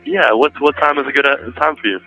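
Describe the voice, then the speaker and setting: A man with a very sharp, bright, mid-pitched voice chats casually on a phone call. Faint music runs behind him. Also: wildly swooping pitch, fairly quick, gravelly, no room sound.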